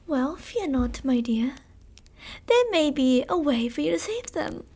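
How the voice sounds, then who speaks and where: A woman acts out a female vampire commander for an ASMR roleplay, sadistic and possessive. Her voice sits high and she takes her time over each line. The delivery is theatrical and seductive, taunting the listener with pet names. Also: big pitch swings, warm tone, exaggerated voice. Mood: silky, possessive. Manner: slow, theatrical, taunting, with terms of endearment. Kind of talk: ASMR roleplay, dramatic monologue.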